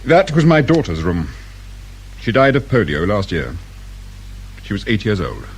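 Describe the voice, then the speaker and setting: A man's voice: on the low side, big pitch swings, unhurried, a little hiss or hum. An actor playing a curt, impatient and anxious father in a radio play, with a British accent and a brusque, demanding manner. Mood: terse, grieving. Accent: British English.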